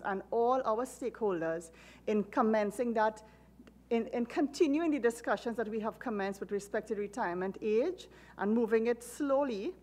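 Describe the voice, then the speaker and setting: A woman's voice: fairly high pitch, darkish tone. A woman, a national insurance official, giving formal testimony to a committee and stressing her key points. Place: parliamentary committee room.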